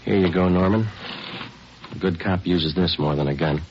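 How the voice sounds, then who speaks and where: An actor playing a calm, patient police detective who narrates his case tersely and matter-of-factly in a 1950s radio police drama. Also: low-pitched, normal speed, noisy background, lightly acted. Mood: patient, firm. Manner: terse, deadpan, matter-of-fact. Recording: dull vintage radio recording with music.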